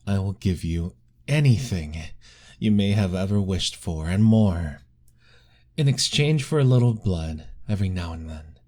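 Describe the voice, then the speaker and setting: A man voicing an ancient, lovestruck male vampire lord in an ASMR roleplay, formal and soft-spoken, pleading gently. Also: low-pitched, animated, measured pace.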